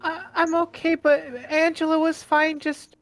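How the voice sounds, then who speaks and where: A woman's voice: very high voice, monotone, a little slow, soft background music, pitched above the performer's own voice. A woman voicing a worried, earnest teenage boy in a tabletop game, hesitant and pleading. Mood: anxious.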